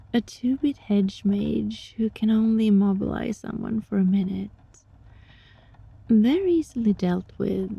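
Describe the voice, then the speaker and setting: A woman voicing a sly, teasing, controlling yet gentle fox-spirit roommate in an ASMR roleplay, with a breathy voice that often drops into a whisper. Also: mid-range voice, no echo, muffled recording, heavily acted. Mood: calm, explaining. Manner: slow, teasing, softly menacing. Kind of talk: ASMR roleplay, scripted monologue addressed to listener.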